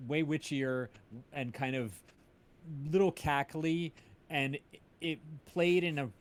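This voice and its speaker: A man chatting casually in an interview as an audio drama creator, telling animated anecdotes and often checking in with tag questions. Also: on the high side, slow. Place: indoors, on a group video call.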